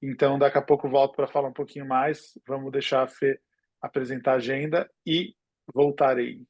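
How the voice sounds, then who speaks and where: A man's voice: medium pitch, little pitch movement, fairly quick, darkish tone, dry recording. A Brazilian man in his forties co-hosting a webinar in an informal, conversational style. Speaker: webinar co-host, futures researcher.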